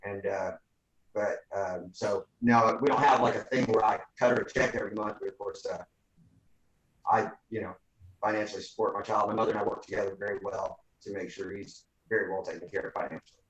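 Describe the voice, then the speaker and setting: A man's voice: fairly low pitch, steady tone, a little fast. Young father as witness, folksy and polite, roomy echoey room on a video call. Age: young adult. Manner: polite and folksy, with fillers and many "yes, ma'am" replies. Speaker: witness, father in a custody case. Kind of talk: courtroom testimony on a video call.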